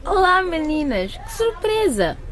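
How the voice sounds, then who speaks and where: A woman playing an excitable, teasing teenage girl in a radio drama. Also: very high voice, unhurried, heavy background noise, exaggerated voice. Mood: surprised, mock-reproachful.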